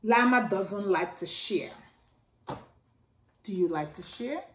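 A middle-aged woman reading a children's picture book aloud, slow and expressive, with her voice leaping up for exclamations. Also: slight background noise. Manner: slow, expressive read-aloud, jumping high for exclamations and a toy's voice. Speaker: children's storytime reader. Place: living room by a staircase, indoors. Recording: echoey room.

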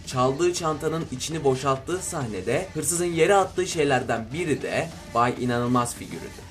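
A man reading scripted narration for a list video. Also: a little high. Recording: voice-over with music underneath. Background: background music.

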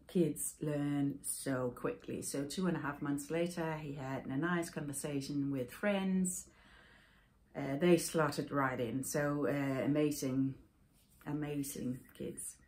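Danish woman in her forties, low voice, slow and searching delivery, podcast host talking to a webcam at home. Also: fairly even intonation, a little mellow. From Denmark. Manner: thoughtful, halting, with many fillers and restarts. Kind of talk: casual personal storytelling. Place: home room with yarn shelves, indoors.

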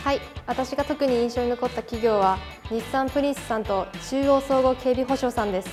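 A young female high school student giving a formal, rehearsed interview answer. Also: fairly quick, music in the background.

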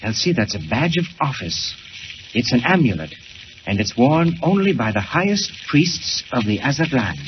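A man's voice: mid-range voice, big pitch swings, normal speed, lightly acted. An actor playing an older, learned father, agitated and earnest, in 1940s radio style. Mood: earnest, excited. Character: excitable older learned doctor, a worried father. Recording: dull, hissy 1940s radio recording.

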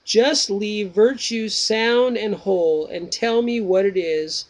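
A man's voice: high voice, pitched above the performer's own voice. Socrates, a man reading as a patient questioning sage. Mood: probing. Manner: slow, deliberate reading of each question. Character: Socrates, patient older male philosopher questioning a pupil.

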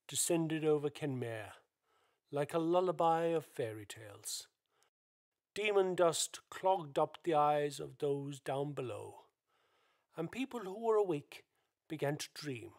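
A male narrator tells a radio play tale in a dramatic, scripted style. His delivery is unhurried, and his voice sits a little high.

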